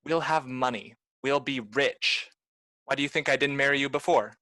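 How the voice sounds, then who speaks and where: A man's voice: mid-range voice, normal speed, sharp tone, dry recording, studio quality, close to an everyday voice. Man playing a greedy, scheming butler. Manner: contemptuous, pushy. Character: scheming, greedy male butler, bitter and bold. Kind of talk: amateur radio play acting.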